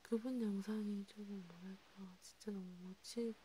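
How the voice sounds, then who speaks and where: A woman speaking in a hushed, rambling ASMR style, half whispering, as if chatting one-to-one with a listener. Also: medium pitch, fairly even intonation, moderate pace, a little hiss or hum. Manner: hushed, rambling, intimate one-sided conversation. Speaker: ASMR creator. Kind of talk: soft ASMR roleplay chat, partly whispered.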